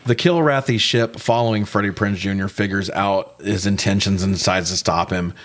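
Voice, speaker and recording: A man's voice: on the low side, fairly even intonation, moderate pace. A man co-hosting a casual, comedic movie review, talking with sarcastic, profane joking. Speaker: podcast co-host reviewing movies.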